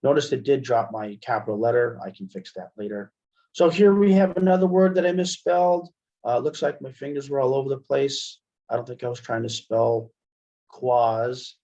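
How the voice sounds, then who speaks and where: A man giving a casual software demonstration as an instructor, conversational and thinking aloud as he goes. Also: mid-range voice, moderate pace. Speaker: instructor, webinar presenter. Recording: dull, band-limited webinar audio.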